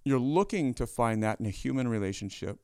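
A chatty middle-aged pastor hosting a casual interview, joking and restarting his sentences often. His voice is smooth and clear at a medium pitch. The recording sounds close and dry. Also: expressive, a little fast, no room sound. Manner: chatty, warm-humored, many restarts. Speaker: pastor and host.

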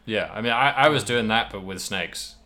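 A man's voice: fairly low pitch, moderate pace, pushed and bright. A man co-hosting a podcast, talking casually about video games with wry, mock-dramatic phrasing and casual swearing. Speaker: podcast co-host. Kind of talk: casual video game discussion.

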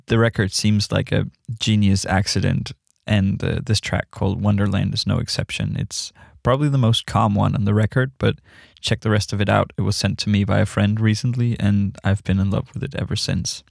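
Male music radio host, casual and low-key, with a creaky, flat-toned voice. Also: moderate pace, dry recording, clean studio sound.

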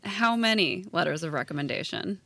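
A woman playing a sardonic, deadpan high school staffer in a naturalistic audio drama. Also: a little fast, creaky, no echo, full character voice. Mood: sarcastic, exasperated.

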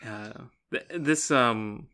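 A man's voice: medium pitch, extremely slow, sharp tone, smooth. A man co-hosting a podcast, discussing a book casually with a wry, informal manner and some swearing. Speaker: podcast co-host.

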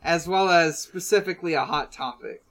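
A podcast co-host casually recapping a story among friends, in a halting way with drawn-out pauses. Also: mid-range voice.

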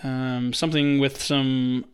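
A man's voice: medium pitch, little pitch movement, slow pace, clear, resonant tone. A man in his twenties co-hosting a casual sports podcast, laid-back and joking, with an unpolished delivery full of fillers. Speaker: podcast co-host.

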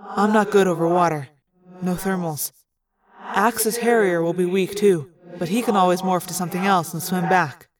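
A woman voicing a subdued teenage boy in a hawk's body in an audiobook, low-key and matter-of-fact. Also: normal speed, recorded from a distance, a crowd in the background, lightly acted. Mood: resigned. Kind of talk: audiobook character voice.

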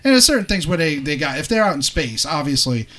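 A man's voice: fairly high pitch, big pitch swings, fast, bright, forceful tone. A man co-hosting a podcast in casual chat, opinionated and joking. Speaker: podcast co-host.

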